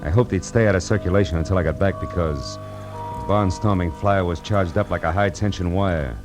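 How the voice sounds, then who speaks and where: A low, hard-boiled detective voice, acted by a man in a 1940s radio play. He is cool-headed and wry, speaking in clipped slang. It is an old radio recording with music behind it. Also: moderate pace, natural voice. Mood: grim narration. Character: tough, cool-headed male private detective narrating his case. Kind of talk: 1940s radio noir acting and narration.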